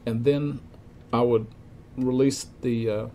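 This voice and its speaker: An older man giving an informal instructional demonstration, explaining step by step in a halting, methodical way, recorded in a workshop. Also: medium pitch. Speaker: repair technician demonstrating equipment checks. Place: cluttered indoor electronics workshop. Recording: clear steady background room noise.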